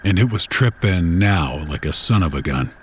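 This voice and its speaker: Very deep-voiced man telling a scary story. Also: animated, normal speed, slight background noise. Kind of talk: first-person horror storytelling in a casual, conversational way. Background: background music.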